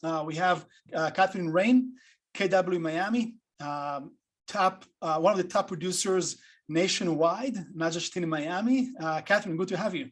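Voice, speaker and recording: Halting, higher-voiced man hosting online. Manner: halting, informal. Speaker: host. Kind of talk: casual, unscripted hosting of an online panel. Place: online video call.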